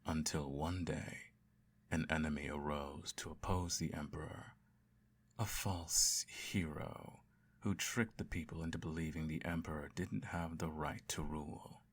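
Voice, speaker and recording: Very deep gravelly guy doing a calm bedtime roleplay, husky and slow, slips into whispers. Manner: calm, measured, softly murmuring, drops into whispers. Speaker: emperor parent in an audio roleplay. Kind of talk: scripted audio roleplay, soft bedtime storytelling.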